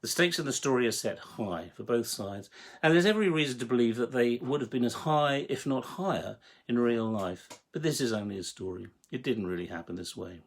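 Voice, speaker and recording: Man, husky voice, frequent vocal fry, writer presenting, prepared monologue, measured, articulate, emphatic phrasing. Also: mid-range voice. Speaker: writer and producer introducing his audio drama series. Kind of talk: prepared explanatory monologue to the audience.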